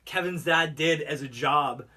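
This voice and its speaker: A man co-hosting a casual chat, speaking haltingly and leaning heavily on filler words like "like". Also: a little high, sharp tone. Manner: halting, heavy use of "like". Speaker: co-host. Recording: roomy distant mic, faint noise.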